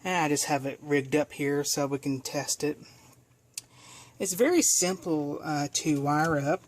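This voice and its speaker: A speaker giving a casual hands-on equipment demonstration in a halting, self-correcting way. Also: mid-range voice. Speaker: video presenter demonstrating equipment.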